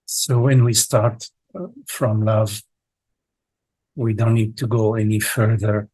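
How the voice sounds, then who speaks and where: A man leading a guided meditation as a teacher, speaking in halting short phrases with long contemplative pauses and a husky voice. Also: fairly low pitch, fairly even intonation, darkish tone, no echo, low-quality recording. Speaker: meditation teacher leading a live online session.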